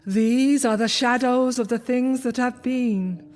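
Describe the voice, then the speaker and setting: Woman doing a grave spirit, commanding and slow, long echo effect, soft music behind. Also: a little high, fairly even intonation. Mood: solemn. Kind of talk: radio drama acting. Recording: reverb effect on the voice.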